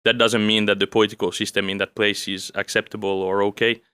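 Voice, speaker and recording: A young man from Finland, raised in France, talks through his background in an unhurried, measured way. His voice sits low and stays fairly flat, with a bright, clean tone. The recording is dry and close, with no room sound. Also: clear, resonant tone, no room sound. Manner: calm, measured, with frequent fillers. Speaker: guest, OSINT analyst. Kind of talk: casual interview conversation.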